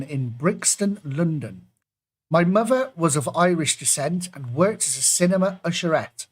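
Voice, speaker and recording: Chatty man reading pub quiz clues. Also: high voice. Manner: chatty asides between clues, jokey.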